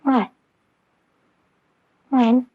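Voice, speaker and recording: An actress playing a troubled, frightened young woman with a childlike air, speaking haltingly in a radio drama. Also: sits high, flat delivery, full character voice. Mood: wistful, puzzled. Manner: halting, dreamy, hushed delivery. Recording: dull 1980s AM radio recording, music behind. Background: music.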